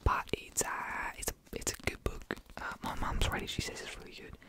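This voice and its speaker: Soft whisper, young adult, put-on Australian accent with slight Kiwi tinge, rambling ASMR, hesitant, self-deprecating, close-miked. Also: brisk. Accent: put-on Australian with a slight New Zealand tinge. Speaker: ASMR video creator.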